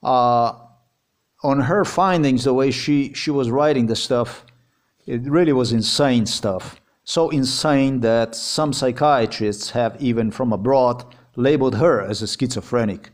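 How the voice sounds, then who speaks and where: Man, Slovenian accent, non-native English, heated, repetitive, swearing, mid pitch. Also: a little slow. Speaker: vlogger. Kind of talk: agitated personal account.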